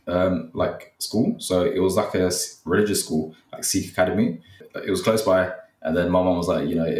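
A man's voice: low voice, distant mic. A young man from Nepal, a guest on a podcast, chatting casually and hesitantly, full of fillers. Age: young adult. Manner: rambling, many fillers, hesitant. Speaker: guest, content creator. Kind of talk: casual interview chat. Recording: echoey, from off the mic.

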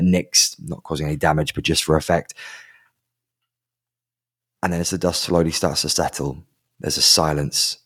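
Deep-voiced man narrating as game master. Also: husky. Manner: slow dramatic descriptive narration.

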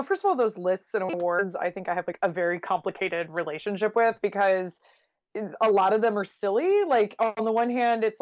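An American woman with a mid-pitched voice speaks as a podcast guest. She is casual, candid and a little wry. The sound is dry and somewhat dull, with a lo-fi edge. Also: dry recording. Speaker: journalist and author, podcast guest. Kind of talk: casual conversational interview.

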